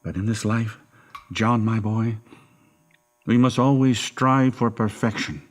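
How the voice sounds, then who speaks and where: A man's voice: on the low side, fairly even intonation, takes it slowly. An actor playing a menacing, controlling middle-aged father in an audio horror drama, with a folksy, coaxing, domineering manner. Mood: fervent, grieving.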